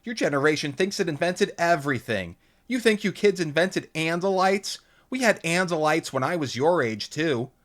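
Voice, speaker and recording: A man narrating an audiobook voices a cheerful, oblivious mother in a high, sing-song tone. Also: expressive, normal speed, a bit bright. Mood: breezy. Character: cheerful motherly human woman, falsely calm.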